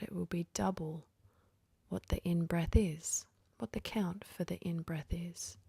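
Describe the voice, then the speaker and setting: A woman leading a guided sleep meditation in a gentle, whispery, half-voiced delivery, leaving long pauses between phrases. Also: lowish voice, gravelly, husky, no echo.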